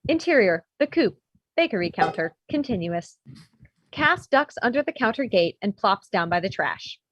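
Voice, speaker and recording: A woman's voice: mid-range voice, big pitch swings, normal speed, fairly bright tone, no echo. A woman reading out a script's stage directions as scripted narration, on dull, lo-fi audio. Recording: dull, lo-fi video call sound.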